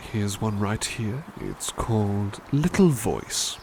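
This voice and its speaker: Gentle low-voiced butler, gravelly and slow. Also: male voice, lively intonation, slight background noise. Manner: gentle, doting, slow and soothing. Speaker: ASMR roleplay butler. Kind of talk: soft-spoken ASMR roleplay.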